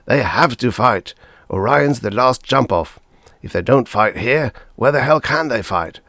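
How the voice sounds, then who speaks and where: A male audiobook reader voicing an angry, bitter, hawkish father with savage, forceful outbursts. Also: mid-range voice, lively intonation, a little slow, dry recording, studio quality, voice pushed up. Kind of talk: audiobook character voice.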